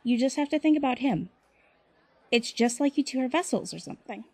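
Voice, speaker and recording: A woman playing a gentle, patient young woman who explains things softly in an audio drama. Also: high voice, animated, fairly quick, dry recording. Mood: gentle, explanatory.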